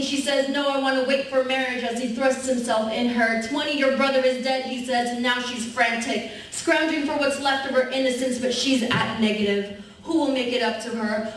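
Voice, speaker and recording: Young woman, spoken word poet, measured rhythmic delivery, distant, echoey hall. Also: fairly high pitch, slight background noise. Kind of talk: spoken word poetry performance. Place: university event hall. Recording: echoey hall recorded from far off.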